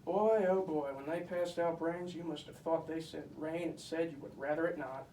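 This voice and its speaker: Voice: medium pitch, steady tone, moderate pace, mellow, close to an everyday voice. A performer voicing a boastful, bossy puppet storyteller, pompous and emphatic, telling comic Bible tales. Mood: scornful, exasperated. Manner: pompous, emphatic, mock-scholarly. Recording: echoey room, distant mic, faint noise.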